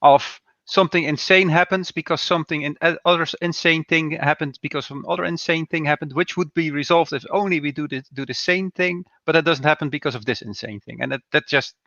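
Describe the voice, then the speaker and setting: Non-native english guy, gravelly and bright, stumbles over words, dry jokes, muffled dry mic. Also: fairly high pitch, dry recording. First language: not English. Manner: halting, repeats words, dry wry humor. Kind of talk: casual book club chat. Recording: dull dry lo-fi sound.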